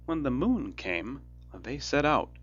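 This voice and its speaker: A man narrating a fairy tale as a storyteller, in a measured, expressive storybook delivery. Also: medium pitch, highs cut off. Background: music.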